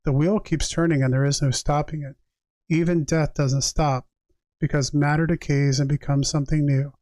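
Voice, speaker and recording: A man's voice: fairly high pitch, little pitch movement, normal speed, darkish tone, dry recording. A man giving a tarot reading on a livestream, conversational and reflective, moving between reading aloud and chatting directly to viewers. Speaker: tarot reader and live streamer.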